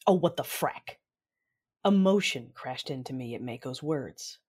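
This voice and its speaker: Proud, vengeful Sith commander played by a woman, formal and measured, narrating her own sci-fi audio drama with authority. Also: a bass voice, expressive, darkish tone, slightly rough, heavily acted. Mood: brooding. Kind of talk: first-person audio drama narration and dialogue.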